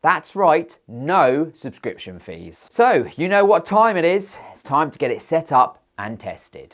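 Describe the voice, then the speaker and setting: A British man hosting a tech review, narrating from a script with playful, informal asides. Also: medium pitch. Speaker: tech reviewer and channel host.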